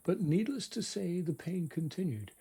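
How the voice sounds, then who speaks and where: Husky, mellow male storyteller. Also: sits high, lively intonation. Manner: wry, dramatic, deliberate delivery. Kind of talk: bedtime storytelling, scripted personal narrative.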